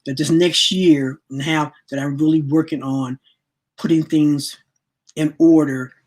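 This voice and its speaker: A woman's voice: low voice, fairly even intonation, unhurried, a little mellow, slightly rough. A woman in her late sixties giving a casual wellness workshop talk to her audience, chatty and repeating words as she searches for them. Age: 60s. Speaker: spiritual wellness teacher.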